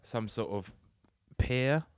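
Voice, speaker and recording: A man's voice: mid-range voice, expressive, takes it slowly, no echo. A man co-hosting a podcast, chatting casually and reacting to stories. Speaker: podcast co-host.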